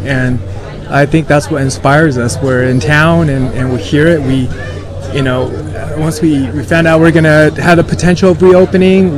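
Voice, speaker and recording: A man from China who grew up in Los Angeles, talking earnestly and informally as an interview guest. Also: on the high side. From born in rural China, raised in Los Angeles. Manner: informal, peppered with 'like' and 'you know'. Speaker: interview guest, cancer center operator. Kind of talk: casual, earnest interview talk. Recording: crowd and traffic noise behind.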